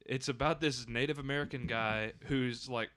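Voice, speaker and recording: A man's voice: mid-range voice, steady tone, normal speed, a bit bright. A man speaking as a podcast guest, telling things in a loose, conversational way. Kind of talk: casual storytelling chat.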